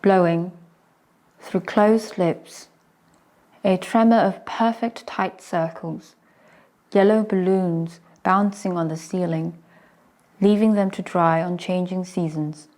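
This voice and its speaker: Female poetry reader, lower-pitched voice, very unhurried, measured fragments set apart by pauses. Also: fairly even intonation, darkish tone. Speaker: poet reciting poetry. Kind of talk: slow poetry recitation.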